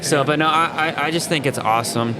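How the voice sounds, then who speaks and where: A man's voice: on the high side, a little fast, over a noisy crowd. An American man co-hosting a podcast, chatting in an informal, rambling way. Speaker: podcast co-host. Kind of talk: casual chat. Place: live at an event being packed up. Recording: clattering teardown noise, busy event. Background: things dropped, loud ambient clatter.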